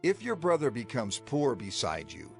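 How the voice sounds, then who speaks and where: Expressive male scripture narrator over music. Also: mid-range voice. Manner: deliberate, declamatory, clearly phrased.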